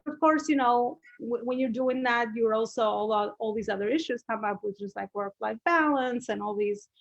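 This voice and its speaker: A woman's voice: fairly high pitch, normal speed, creaky, no room sound. Albanian-accented woman, dull dry audio. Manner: chatty, many fillers and restarts. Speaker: guest, business coach. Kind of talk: podcast interview storytelling.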